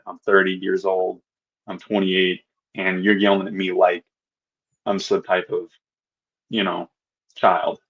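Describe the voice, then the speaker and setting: A man's voice: low-pitched, a bit bright, husky, highs cut off. A man co-hosting a podcast, thinking aloud with hesitant restarts. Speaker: podcast co-host. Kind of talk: casual sports chat.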